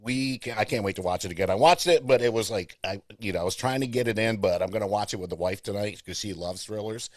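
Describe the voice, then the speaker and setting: A man's voice: lowish voice, fast talker, hardly any pauses, a little mellow, no room sound. A man hosting a pop culture podcast, chatting with a film cast on press day and often restarting his sentences. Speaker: podcast host. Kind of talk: casual press-day interview.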